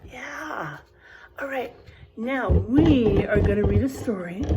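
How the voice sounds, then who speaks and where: Female first-grade teacher, storytime read-aloud, unhurried, very animated delivery. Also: medium pitch, slight background noise. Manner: animated, gentle, asks the children questions. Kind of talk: reading a picture book aloud to a class.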